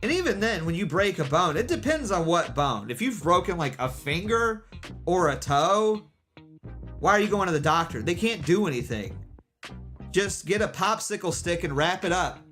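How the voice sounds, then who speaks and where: Guy streaming and rambling to chat, kinda high voice, defiant, faint music behind. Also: very animated, moderate pace, a bit bright. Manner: rambling, defiant, full of "like" and restarts. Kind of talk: casual chat with stream viewers.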